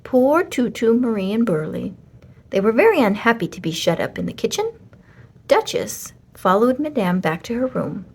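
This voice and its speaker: A woman reading a children's story aloud as an expressive storyteller. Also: on the high side, normal speed, slightly rough.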